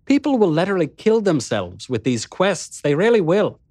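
A sweet, alluring woman, as a male reader puts her on, coaxes and teases in a gentle, motherly way. Her voice sits well above his own and rises playfully. The recording is dry and close. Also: high voice, big pitch swings, normal speed, no echo, voice pushed up, full character voice. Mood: coaxing. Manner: gently teasing, soothing, motherly coaxing. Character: sweet, coaxing, seductive woman of a strange house.

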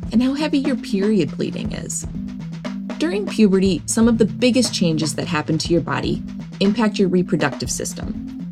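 A woman hosting an educational podcast, reading a scripted narration in a gentle, reassuring manner. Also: fairly low pitch. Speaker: podcast host. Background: background music.

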